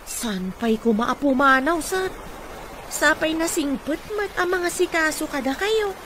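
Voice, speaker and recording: A woman's voice: sits high, expressive, unhurried. A woman playing a kind, concerned caregiver in an Ilocano radio comedy-drama. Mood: concerned, respectful. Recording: noisy radio drama mix.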